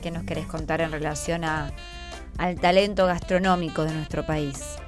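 A woman's voice: low-pitched, steady tone, normal speed, music in the background. A woman with a Rioplatense Argentine accent hosting an informal radio interview, patiently encouraging her guests with questions. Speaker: radio host interviewing schoolchildren.

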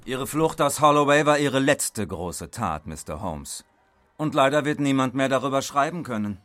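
An actor playing a cold-blooded, smug villain in a German radio drama, mockingly polite and menacing. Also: male voice, mid-range voice, lively intonation, normal speed, a bit bright, no echo, lightly acted. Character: smug, cold-blooded male villain holding men at gunpoint.

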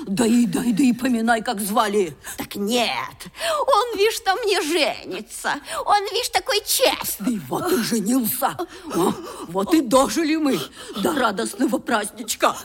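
A woman's voice: fairly high pitch, sing-song, unhurried, husky, full character voice. An actress playing a coarse, uneducated older stepmother in a radio play, scolding with sarcastic, wailing folksy nagging. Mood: scolding, sneering. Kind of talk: Russian radio play acting.

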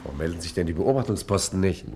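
A gangster boss in an old radio crime satire, edgy and bossy with short commands. The voice is fairly low but swings widely in pitch, with faint recording noise. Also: male voice, animated, fast, a little mellow. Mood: tense, watchful. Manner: terse, commanding. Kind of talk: 1950s German radio play acting.

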